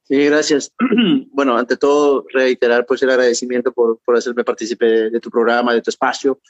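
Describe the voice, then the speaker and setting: A man's voice: mid-range voice, steady tone, no room sound. Middle-aged Peruvian man on a dull, dry call. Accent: Peruvian Spanish. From Peru. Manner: halting, repeats words, adds tag questions. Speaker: guest. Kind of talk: interview.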